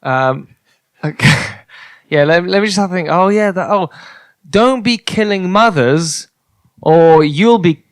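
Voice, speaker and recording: A man, a stand-up comedian guesting on a podcast, trading playful, deadpan jokes in casual banter. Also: high-pitched, measured pace, clean tone, no room sound, studio quality.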